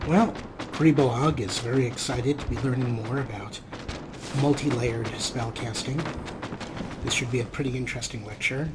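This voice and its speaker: A man's voice: medium pitch, background noise. A man hosting a let's play, giving casual, wry gameplay commentary as if half talking to himself. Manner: wry, unhurried, talks to himself.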